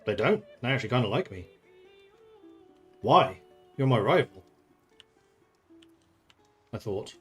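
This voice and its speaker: A man from the Netherlands streams a strategy game, musing aloud over his moves in a mid-pitched, easygoing voice. He chats with viewers between decisions and laughs freely. Faint music plays underneath. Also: sing-song, slow, long pauses. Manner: thinking aloud, chatty, laughs often. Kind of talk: casual gameplay commentary.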